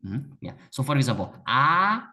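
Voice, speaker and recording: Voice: mid-range voice, very animated, moderate pace, pushed and bright. A native Korean speaker with a Korean accent, teaching a beginner one-on-one in a patient, encouraging way and repeating words for emphasis. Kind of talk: one-on-one language lesson.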